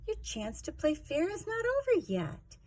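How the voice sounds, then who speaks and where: A woman voicing a gentle mother in a children's storybook, soothing and instructive. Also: very high voice, huge pitch swings, a little slow, voice pushed up, full character voice. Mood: consoling. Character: gentle, consoling mother giving advice.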